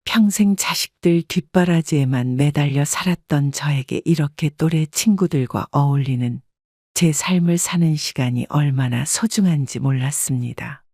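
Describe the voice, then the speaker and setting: Composed story narrator, mid pitch, really dry close sound, reading an audiobook script. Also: moderate pace, darkish tone, dry recording.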